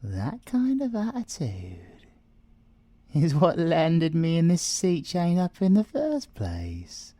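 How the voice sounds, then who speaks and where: British man acting a slow, smug, flirtatious siren. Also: fairly high pitch, huge pitch swings, mellow, no echo, exaggerated voice. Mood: arrogant. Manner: teasing, smug, theatrical, sometimes whispering. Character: flirtatious, arrogant yandere male siren, a captive thief. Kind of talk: ASMR audio roleplay acting.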